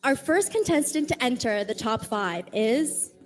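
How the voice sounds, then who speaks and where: A woman with a high, bright-sounding voice hosts a live pageant, calling names and countries with upbeat projection. Soft music sits faintly under her. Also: expressive, measured pace. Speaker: pageant host.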